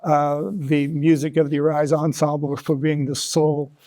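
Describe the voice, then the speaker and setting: Mellow, slightly high-voiced man being interviewed. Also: flat delivery. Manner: halting, repeats words as he explains. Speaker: interview guest, author. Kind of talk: conversational interview answers. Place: broadcast interview studio.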